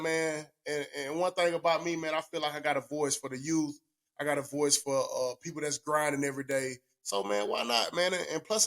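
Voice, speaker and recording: Higher-voiced man, slangy. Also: fairly bright tone. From southern United States. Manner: slangy, laid-back, says "man" a lot. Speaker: podcast co-host, retired football player. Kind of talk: casual chat.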